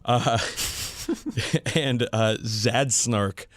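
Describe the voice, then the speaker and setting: A man's voice: mid-range voice, very slow, no room sound. A man hosting a podcast, explaining things in a casual, enthusiastic hobbyist way. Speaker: podcast host.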